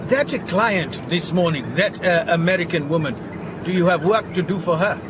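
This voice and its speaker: Actor as put-upon Southern African mechanic, lightish voice. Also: male voice, sits high, normal speed, close to an everyday voice. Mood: resigned, amiable. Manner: polite, formal, gently complaining. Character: kindly, put-upon Botswanan mechanic, mildly resigned. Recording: clear outdoor vehicle noise. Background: car and outdoor ambience.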